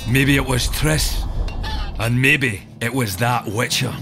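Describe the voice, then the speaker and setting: An actor playing a seasoned dwarf scout in a video game, telling things in a dry, knowing, measured way. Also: male voice, mid-range voice, vocal fry. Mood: informative, knowing. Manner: wry, measured storytelling. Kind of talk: video game cutscene acting. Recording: music behind the voice.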